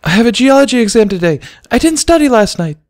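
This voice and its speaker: A man voicing an excitable, panicky teenage unicorn mare in a dramatic storybook reading. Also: extremely high pitch, fast, voice pushed up. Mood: panicked.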